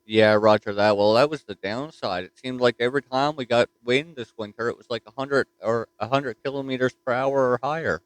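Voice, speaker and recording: A man with a Newfoundland accent chatting casually as a ham radio operator from his home station, relaxed and easygoing. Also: mid-range voice, a little fast, hardly any pauses, dry recording, low-quality recording. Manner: easygoing, says "roger that".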